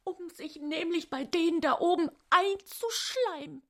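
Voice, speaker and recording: A woman's voice: extremely high pitch, measured pace. An actress playing a hysterical, indignant young woman clerk in a radio play, gossiping in exasperation. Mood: gossipy, disgusted. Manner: gossipy, exasperated, whispering and wailing by turns. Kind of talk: German radio play acting.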